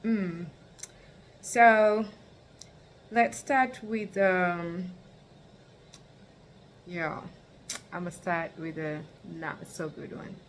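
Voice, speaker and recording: Young woman from kenya rambling to her vlog camera, lowish voice, bit of hiss behind. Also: steady tone, extremely slow, pauses often. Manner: rambling, many fillers. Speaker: vlogger. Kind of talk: casual talk to camera.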